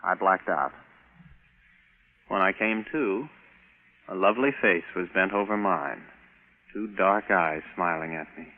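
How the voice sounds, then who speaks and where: A man's voice: sits low, a little slow, pauses often. A man playing an eager, easily flustered young rocket pilot captain in a 1950s radio drama, wry and hesitant. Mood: wry narrating. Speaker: narrator and lead actor. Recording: noisy, tinny vintage radio broadcast.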